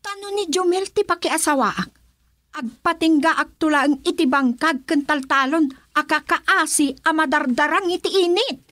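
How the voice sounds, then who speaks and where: A woman's voice: high voice, normal speed, a bit bright. An actress playing a headstrong young woman set on marrying for money in an Ilocano Filipino radio drama. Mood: firm, unapologetic.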